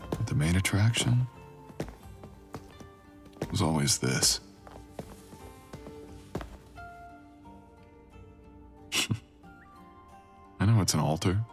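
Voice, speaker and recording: A man playing a brooding, possessive, dangerous creature boyfriend in an intimate ASMR roleplay, husky and breathy, murmuring teasing commands. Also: a bass voice, exaggerated voice. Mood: teasing, storytelling. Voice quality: husky, breathy, often dropping to a whisper. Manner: slow, teasing, murmured commands with deliberate pauses.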